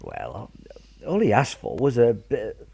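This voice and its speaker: A man playing a genial, cheeky older grandfather with a British accent and a husky voice in a wartime radio play. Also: lowish voice, huge pitch swings, takes it slowly, darkish tone, heavily acted. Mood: sheepish. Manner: jovial, hesitant, coaxing.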